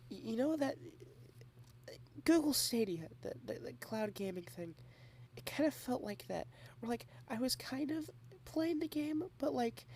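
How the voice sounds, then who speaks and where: Shy, half-whispering young woman with vocal fry. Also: high-pitched, expressive, slight background noise. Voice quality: soft, often half-whispered. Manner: shy, rambling and halting, with many repeated starts. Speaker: ASMR roleplay creator. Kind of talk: ASMR girlfriend roleplay.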